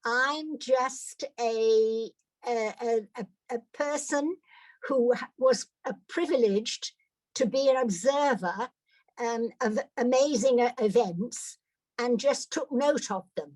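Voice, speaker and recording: A woman's voice: fairly high pitch, no echo. An older British woman giving a personal talk to an audience, hesitant, with many fillers and restarts. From Britain. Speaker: guest speaker.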